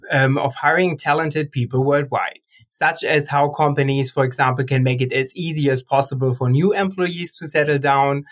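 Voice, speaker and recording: A man hosting a podcast, opening the episode and introducing his guest with measured, friendly delivery. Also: on the high side. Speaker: podcast host. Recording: faint music underneath.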